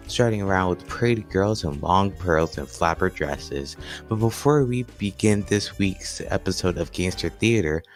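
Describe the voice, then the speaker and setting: A man playing a genial, formal announcer of an old-time radio theater show. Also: low voice.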